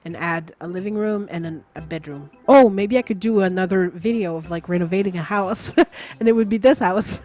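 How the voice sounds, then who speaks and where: A woman's voice: on the low side, moderate pace, a little mellow, soft background music. A woman chatting casually and rambling over gameplay, with lots of filler words and small laughs, while losing her voice. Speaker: video creator.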